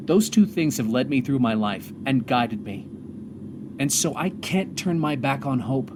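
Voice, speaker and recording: A man playing a stranded astronaut commander, reflective and hopeful, delivering a solemn, measured audio drama monologue with pauses. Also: medium pitch, lively intonation, noisy background, lightly acted. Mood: reflective, resolute. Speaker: narrator and lead of an audio drama. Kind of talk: dramatic first-person monologue. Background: music.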